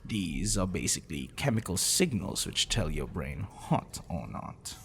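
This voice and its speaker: A man playing a possessive, smitten professor in an intimate ASMR roleplay, wordy and clinical, with teasing, musing pauses. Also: low voice, big pitch swings, creaky, a little hiss or hum, heavily acted. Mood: pedantic, musing.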